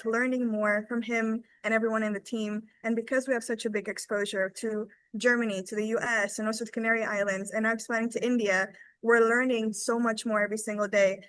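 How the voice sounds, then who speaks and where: A woman in her twenties with a fairly high voice speaks in an informal testimonial style. She is earnest and enthusiastic, and the sound is dry with little room in it. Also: a bit bright, no echo, poor audio. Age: 20s. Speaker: academy team member giving a testimonial.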